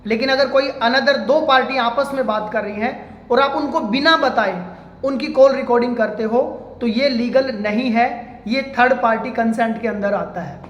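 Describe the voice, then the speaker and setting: A man's voice: very high voice, normal speed, a bit bright, highs cut off. A man speaking as an advocate, giving an informal legal explainer step by step and addressing viewers as friends. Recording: echoey room with long reverb.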